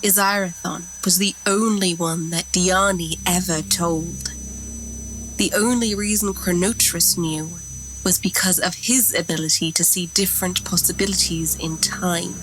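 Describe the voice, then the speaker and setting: A woman playing a dark lord's obedient, scheming servant in an audio drama, measured and deferential. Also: fairly low pitch, steady tone, a little hiss or hum, low-quality recording. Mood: conspiratorial.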